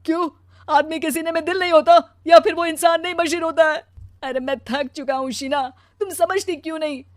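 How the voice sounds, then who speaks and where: A woman's voice: fairly high pitch, expressive, normal speed, no echo, clean studio sound, natural voice. A woman voicing a mild, anxious, put-upon working husband in a cartoon story, with a plaintive, weary manner. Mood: distraught. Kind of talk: animated cartoon story dialogue.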